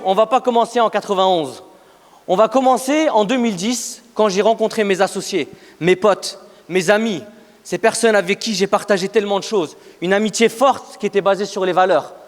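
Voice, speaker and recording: A man in his thirties giving a motivational startup pitch on stage, emphatic, repeating phrases for effect as he tells a story. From Afghanistan. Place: large event hall, on stage. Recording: echoey hall with long reverb.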